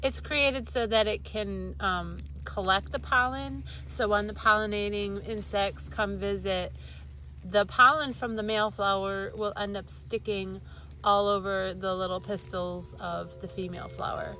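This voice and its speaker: A woman's voice: mid-range voice, steady tone, unhurried, pushed and bright, slight background noise, low-quality recording. A woman in her thirties with a stuffy, nasal voice, chatting casually as she hosts a gardening vlog outdoors, filmed on a phone at arm's length. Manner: chatty, friendly explainer. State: congested, nasal from allergies. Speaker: gardening channel host. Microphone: phone camera held at arm's length.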